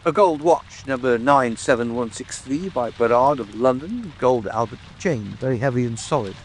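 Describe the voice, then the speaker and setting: A man's voice: mid-range voice, normal speed, a little hiss or hum, close to an everyday voice. A man playing a proud, easily offended Victorian police detective in a dramatic audiobook, matter-of-fact and defensive. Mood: businesslike. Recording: steady rain ambience over voice. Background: rain.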